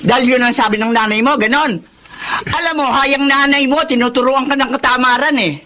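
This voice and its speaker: An actress playing a bossy, nagging, domineering aunt in a Filipino radio drama. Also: female voice, high voice, steady tone, fairly quick, pushed and bright, slight background noise. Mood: scolding, impatient. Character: bossy, scolding aunt who orders children around.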